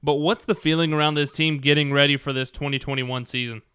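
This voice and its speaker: A man's voice: on the high side, fairly even intonation, quick pace, bright, forceful tone, clear, resonant tone, dry recording, studio quality. A man hosting a sports show, asking questions and chatting in a conversational radio style. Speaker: sports show host, interviewer.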